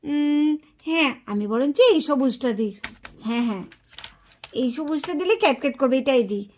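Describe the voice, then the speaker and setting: A woman's voice: high voice, expressive, moderate pace, darkish tone. A woman voicing an earnest, puzzled little girl doll who hesitates and hums while thinking aloud in a puppet story. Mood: puzzled, musing. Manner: hesitant, humming, talking to herself.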